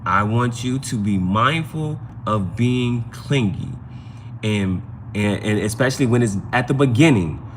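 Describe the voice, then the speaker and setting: A man's voice: medium pitch, expressive, a little slow, slight background noise. A man hosting a casual live tarot reading, giving advice conversationally and often repeating his phrases. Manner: conversational, repeats phrases and adds "you know what I'm saying".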